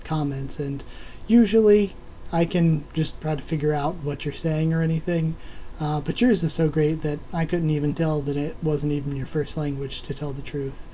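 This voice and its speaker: A man's voice: on the high side, fairly even intonation, normal speed, mellow, slight background noise, rough recording. A man hosting a casual video where he answers viewer comments, rambling with many filler words while he is losing his voice. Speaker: YouTube host answering comments.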